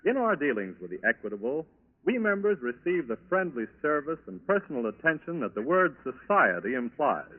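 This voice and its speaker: Animated, high-voiced American male radio announcer. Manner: formal, deliberate phrasing. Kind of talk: scripted 1940s radio sponsor announcement. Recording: tinny, band-limited 1940s radio recording.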